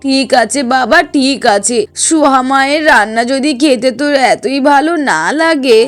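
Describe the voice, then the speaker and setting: A woman plays a kindly older mother in a cartoon, lower than a girlish voice. She reasons patiently and soothingly, with music behind her. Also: moderate pace, no room sound, lightly acted. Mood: gently doubting. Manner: soothing, reasoning, mildly exasperated. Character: kindly older mother, patient, gently doubting, protective of daughter-in-law. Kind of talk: Bengali cartoon dialogue.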